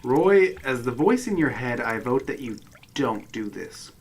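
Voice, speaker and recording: An actor playing the exasperated, sarcastic voice of reason in a hero's head in a comedic radio drama. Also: male voice, medium pitch, animated, unhurried. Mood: wry. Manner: sarcastic, wry, worrying. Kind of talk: comedic sci-fi radio drama narration and dialogue. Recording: clear background noise.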